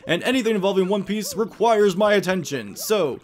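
A man's voice: sits high, lively intonation, fairly quick. A man hosting a Let's Play with casual gameplay commentary, joking in a mock-dramatic way.

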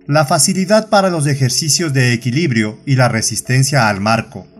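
A man reading a short story aloud as narrator, in measured, even scripted narration. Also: mid-range voice.

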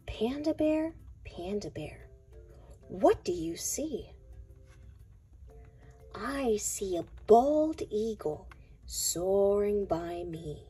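A woman reading a picture book aloud to young children in a deliberate, sing-song way. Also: medium pitch, animated, warm tone, breathy edge, soft background music. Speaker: children's read-aloud narrator.

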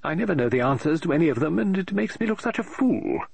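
A man's voice: a little high, expressive, quick pace, vocal fry, darkish tone, slightly rough, no room sound, pitched above the performer's own voice, full character voice. A man voicing a scatterbrained middle-aged woman novelist in an audiobook, fretful and muttering, exclaiming in despair. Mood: fretful, scattered. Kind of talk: audiobook character voice.

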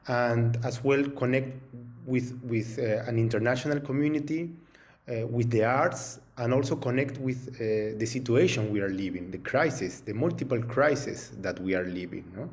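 A middle-aged man from Peru, a program director, giving a halting video-call invitation that keeps repeating words and tagging on "no?". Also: medium pitch, darkish tone. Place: home room with paintings on the wall. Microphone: video call webcam.

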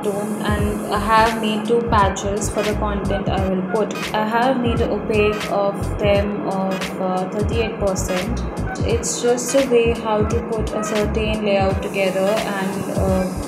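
A woman's voice: mid-range voice, level tone, a little slow. A young woman, casually talking viewers through a design tutorial with many fillers and restarts. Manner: chatty, direct address with many fillers and restarts. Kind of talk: casual screen-recorded tutorial. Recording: roomy voice-over with loud background music.

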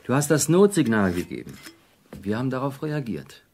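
An actor playing a Soviet intelligence handler in a radio drama, calm, evasive and regretful. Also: male voice, medium pitch, moderate pace, background noise. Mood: grave, regretful. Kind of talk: German radio drama acting.

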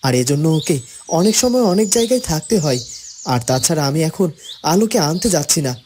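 A man playing an earnest young village husband in a fairytale cartoon, speaking in a measured, firm way. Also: fairly high pitch, big pitch swings, a little mellow, slight background noise. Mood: polite, subdued. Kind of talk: Bengali fairytale cartoon dubbing.

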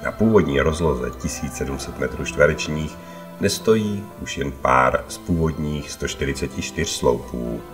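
A man's voice: extremely low pitch, expressive, music in the background. A man narrating a travel vlog from a prepared script, as a voice-over.

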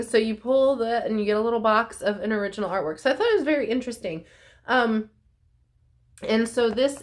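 A woman's voice: on the high side, a bit bright, a little hiss or hum. A woman in her forties, congested and a bit nasal, chatting casually to a phone camera at home with lots of ums and likes. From North Carolina, USA. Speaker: artist vlogger. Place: small room at home, indoors. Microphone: phone camera at arm's length.

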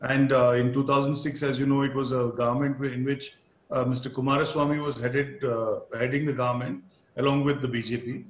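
A man's voice: mid-range voice, flat delivery, fairly quick. A middle-aged man with an Indian accent, a party spokesperson arguing in a TV debate with emphatic repetition and rhetorical questions. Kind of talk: TV debate argument. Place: small room, indoors, on video call. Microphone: video call. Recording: dull, echoey video-call sound.

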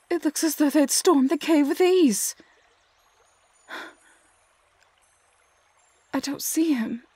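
An actress voices a young woman in a dramatic fantasy roleplay, tender and anguished. Her high voice moves slowly and hesitantly, often hushed almost to a whisper. The sound is close and dry. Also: no room sound. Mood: alarmed confusion.

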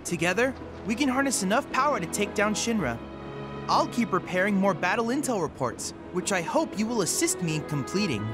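An actor playing a boyish, earnest research intern in a video game, speaking formally and precisely in a slightly robotic, analytical way, over music. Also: male voice, high-pitched, sing-song, a little fast. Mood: pleased, formal. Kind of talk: video game character acting.

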